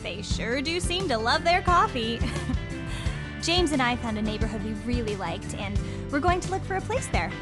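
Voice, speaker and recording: A woman's voice: fairly high pitch, sing-song, normal speed, over loud music. An actress playing a bubbly young woman who giggles while talking about her new life. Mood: excited. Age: young adult. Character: bubbly young woman, dancer, dreaming of motherhood. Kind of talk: audio drama acting.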